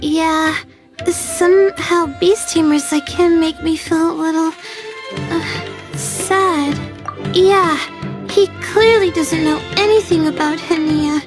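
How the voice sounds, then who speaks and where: A woman voicing a gentle, modest, earnest young girl in an anime-style video game, soft and a little hesitant. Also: very high voice, steady tone, slow pace, music in the background. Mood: sympathetic, pensive.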